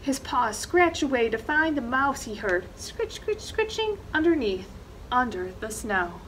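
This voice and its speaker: A woman's voice: high-pitched, sing-song, a little slow, fairly bright tone, a little hiss or hum, poor audio. A middle-aged woman reading a children's picture book aloud expressively, with dramatic emphasis on action words. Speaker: librarian reading storytime. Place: indoor library reading corner, seated.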